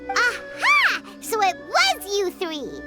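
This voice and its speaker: A woman voicing a tiny, excitable, childlike fairy companion with a squeaky voice in a video game. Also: slow, loud music behind the voice, full character voice. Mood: triumphant. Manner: excitable, refers to self in third person.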